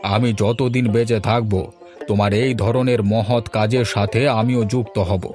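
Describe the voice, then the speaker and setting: A man's voice: fairly low pitch, steady tone, measured pace, a little mellow, faint music behind, close to an everyday voice. A man voicing a kindly elderly village father-in-law in a cartoon fairy tale, affectionate and given to homely moralizing. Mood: heartfelt.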